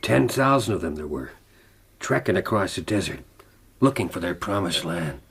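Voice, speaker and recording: An actor playing a gravely ill, defiant older man telling his life story in a grave, deliberate, dramatic way for radio drama. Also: low voice, big pitch swings, full character voice. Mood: reflective.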